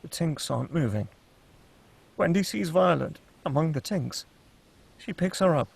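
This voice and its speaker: A man's voice: fairly high pitch, expressive, unhurried, creaky, slightly rough. A man reading scripted stage directions as the narrator of a screenplay table read.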